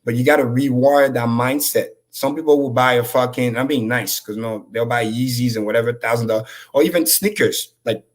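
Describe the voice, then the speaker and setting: A man whose first language is French, giving high-energy, profane motivational talk as a livestream co-host. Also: fairly quick, hardly any pauses.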